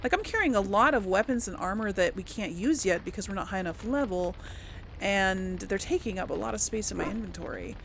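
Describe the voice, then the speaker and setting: Creaky-voiced woman gamer. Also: medium pitch, animated, fairly bright tone, a little hiss or hum. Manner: musing aloud, halting. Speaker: gaming channel host. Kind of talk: casual let's play commentary with light role play.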